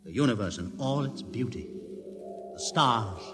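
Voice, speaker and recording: An actor playing a knowing, commanding outcast leader aboard a starship, theatrical and sardonic, in an old-time radio science fiction drama. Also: male voice, medium pitch, animated, over music, close to an everyday voice. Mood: grand, awed. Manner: theatrical, sardonic, deliberate, probing with questions. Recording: vintage 1950s radio drama recording.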